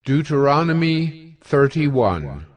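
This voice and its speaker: A man narrating scripture from a script in a measured, formal manner. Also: fairly high pitch, sing-song, no room sound. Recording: music bed behind the voice.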